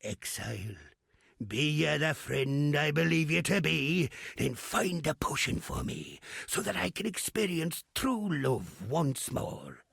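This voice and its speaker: Grizzled old skipper for a game, super raspy n croaky, lusty grumbly old salt. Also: male voice, a little high, sing-song, slow, fairly bright tone, no room sound, clean studio sound, heavily acted. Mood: wistful, scheming. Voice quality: gravelly, raspy. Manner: salty archaic sailor's way of talking, theatrical. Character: lusty, crusty old male pirate sea captain, roguish and grumbling. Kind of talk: video game character acting.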